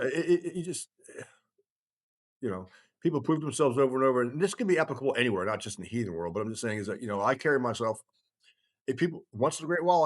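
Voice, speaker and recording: An older man in casual podcast conversation, rambling but emphatic, with blunt, salty language. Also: medium pitch. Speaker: kindred chieftain.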